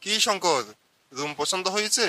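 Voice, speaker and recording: A man's voice: high voice, expressive, moderate pace, pushed and bright, no echo, soft background music, exaggerated voice. A man voicing a hospitable, cautioning older uncle in a cartoon, with a fatherly manner. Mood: fatherly, cautious.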